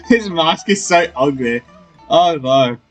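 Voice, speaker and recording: A man's voice: a little high, huge pitch swings, slow, faint music behind. A man giving casual, joking let's-play commentary, reacting aloud to a game.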